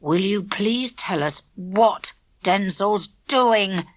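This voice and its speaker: A woman voicing a serious, worried schoolboy who speaks in a frowning, quietly stern way. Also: a little high, lively intonation, normal speed, dry recording. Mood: quiet fury.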